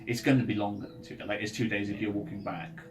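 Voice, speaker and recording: A man's voice: lowish voice, level tone, quick pace. A man chatting casually as game master of a tabletop game, explaining things informally and making it up as he goes. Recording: music playing underneath. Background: ambient music.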